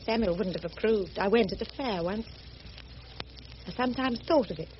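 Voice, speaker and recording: An actress playing a prim, sheltered, earnest missionary spinster in a radio drama, with a proper, gently insistent manner. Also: female voice, medium pitch, normal speed, close to an everyday voice. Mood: earnest, shy. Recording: muffled, noisy old radio recording.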